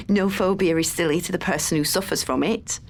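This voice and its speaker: A woman's voice: lowish voice, normal speed, natural voice. A woman playing a research assistant in an audio drama, professional, matter-of-fact and soothing. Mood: clinical, reassuring. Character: brisk, reassuring female research assistant at a university.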